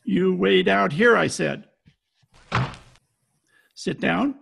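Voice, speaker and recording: A man's voice: high-pitched, animated, drawn-out words, vocal fry, a bit bright, slightly rough, heavily acted. A man playing a tough, wisecracking private detective in an old-time radio style, talking in clipped slang. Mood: brusque, cool. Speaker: amateur radio play actor. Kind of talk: hardboiled 1940s radio detective acting. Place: at home, recorded over video call.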